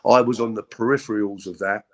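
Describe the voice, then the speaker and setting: A middle-aged man with a deep voice, telling his story as an interview guest in a halting, candid way with many repeats. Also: fairly even intonation, fairly bright tone. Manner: halting, repeats words, frequent ums.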